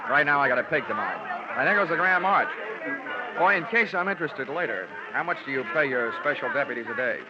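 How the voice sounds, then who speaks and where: A man's voice: mid-range voice, expressive, heavy background noise, close to an everyday voice. An actor playing a wisecracking male insurance investigator, narrating and quipping in 1940s radio drama style. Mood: wry. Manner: dry sardonic quips, brisk narration. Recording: dull lo-fi old radio broadcast.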